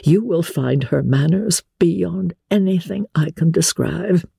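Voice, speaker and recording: A woman's voice: fairly low pitch, slow pace, creaky, mellow, husky, no room sound, studio quality, pitched above the performer's own voice, full character voice. A woman voicing a pompous, long-winded clergyman suitor in a formal, ponderous manner for a dramatic reading of a classic novel. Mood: self-important.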